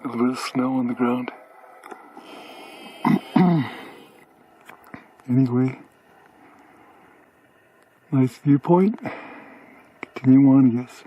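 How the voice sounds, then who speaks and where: A man in his sixties talks easily on a mountain trail, his voice sitting at an everyday middle pitch. He chuckles and circles back over his own thoughts, recorded on a handheld camera with faint outdoor noise behind him. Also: slow pace, long pauses, highs cut off. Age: 60s. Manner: relaxed, chuckling, repeats himself. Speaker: hiker filming a vlog. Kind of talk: casual outdoor chat.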